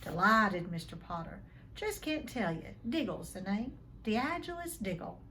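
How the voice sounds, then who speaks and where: A woman puts on a small storybook gentleman, thrilled and easily excited. The recording has faint noise. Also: medium pitch, a little slow. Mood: delighted. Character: excitable little top-hatted man.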